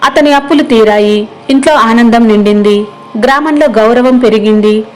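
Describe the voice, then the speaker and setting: Higher-pitched woman narrating a story. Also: normal speed, faint music behind, rough recording. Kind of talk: scripted moral story narration.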